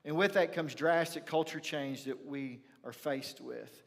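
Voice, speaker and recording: Male pastor, Texan accent, folksy storyteller with emphatic pauses, somewhat high-pitched, long room reverb. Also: gravelly. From rural North Texas, USA. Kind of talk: sermon with personal storytelling. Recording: reverberant hall, church livestream.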